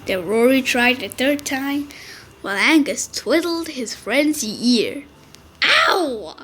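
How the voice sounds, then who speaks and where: Slow, high-voiced woman storyteller. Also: expressive, fairly bright tone, slight background noise. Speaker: children's storyteller. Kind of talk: reading a picture book aloud for kids.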